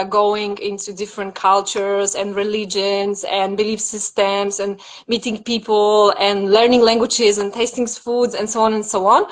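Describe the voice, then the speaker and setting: Reflective woman with many fillers. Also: mid-range voice. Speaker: dreamwork and yoga nidra teacher. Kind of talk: personal story in a casual interview.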